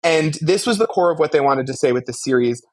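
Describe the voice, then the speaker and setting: A man's voice: fairly high pitch, animated, fast talker. A man co-hosting a podcast, giving casual but articulate critique in long, emphatic explanations. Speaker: podcast co-host. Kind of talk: casual media discussion and critique.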